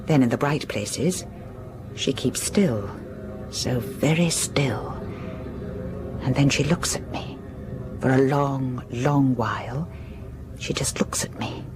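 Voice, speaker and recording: Hushed, obsessed Victorian wife unravelling. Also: female voice, a bass voice, music in the background. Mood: haunted, secretive. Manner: hushed, deliberate, drifting into breathy whispers. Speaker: actress. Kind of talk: dramatic monologue in a gothic audio drama.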